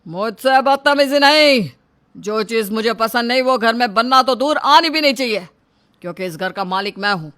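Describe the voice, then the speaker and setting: A performer voicing a tyrannical middle-aged father who is domineering, threatening and quick to shout in a melodramatic story. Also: mid-range voice, expressive. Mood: angry, domineering. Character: tyrannical, short-tempered middle-aged father and shop owner. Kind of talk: melodramatic audio story dialogue.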